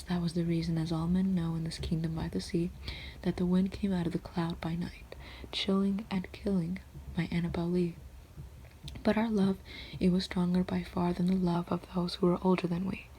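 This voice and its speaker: A woman reading classic poems aloud in a soft, steady whisper, with rhythmic recitation. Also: fairly low pitch, normal speed, slight background noise, rough recording.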